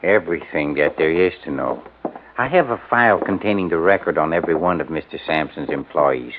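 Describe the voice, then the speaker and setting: A courteous, precise private secretary, a man with a deep voice and formal phrasing. It is fifties radio drama, on a tinny recording with faint noise. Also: animated, brisk. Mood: courteous, probing. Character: polite, formal, smooth male private secretary. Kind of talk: 1950s radio drama acting.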